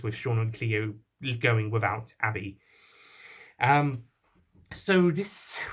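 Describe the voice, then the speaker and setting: A man giving an unscripted spoken review, talking in a halting, rambling way and often rephrasing himself as he goes. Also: fairly high pitch, a bit bright. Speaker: online reviewer of audio drama.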